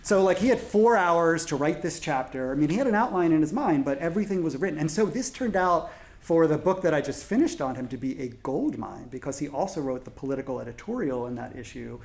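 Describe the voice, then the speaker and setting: Gravelly-voiced man on a panel. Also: a little high, lively intonation, a little mellow. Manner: halting with many fillers and restarts. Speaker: panelist translator. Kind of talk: panel discussion.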